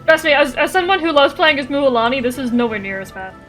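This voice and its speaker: A woman's voice: high voice, lively intonation, quick pace, a bit bright, faint music behind. A woman streaming a game, reacting casually in a playful, interjecting way. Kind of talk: casual live playthrough reactions.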